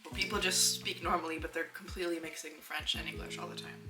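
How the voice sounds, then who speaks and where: A woman in her twenties from Saskatchewan, chatting casually as an interview guest, relaxed and thoughtful with frequent filler words. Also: sits low, fast talker, recorded from a distance. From Saskatchewan, Canada. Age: 20s. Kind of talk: casual conversation. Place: home, indoors. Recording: echoey room, off-mic, music underneath.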